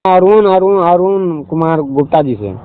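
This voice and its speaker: Halting man, higher-pitched, on the phone. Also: clear, resonant tone, dry recording. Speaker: caller. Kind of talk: argumentative casual phone conversation. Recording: dull recorded phone call.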